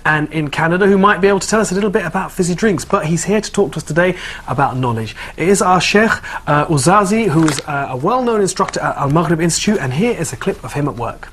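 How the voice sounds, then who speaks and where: A man co-hosting a studio interview show, asking the guest questions in a quick, friendly way. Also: a little high, animated, fairly bright tone, a little hiss or hum. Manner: quick and friendly, with short backchannels. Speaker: TV show co-host.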